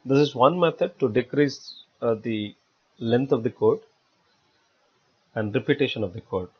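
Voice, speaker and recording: A man giving step-by-step software tutorial narration as an instructor, in a halting, repetitive explanatory way. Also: mid-range voice, breathy edge. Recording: dull lo-fi voice-over recording.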